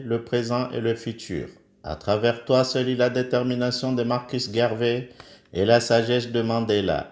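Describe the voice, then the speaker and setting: A man's voice: mid-range voice, a little mellow, reverberant. A man from the Comoros reciting a solemn praise poem in an oratorical, declamatory way, in short emphatic phrases. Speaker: poet.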